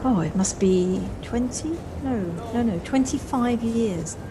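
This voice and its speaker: A woman's voice: on the high side, measured pace, natural voice. A woman acting a flustered, wistful middle-aged woman in an audio play, with hesitant, reflective speech that repeats words. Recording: noisy home recording on personal device.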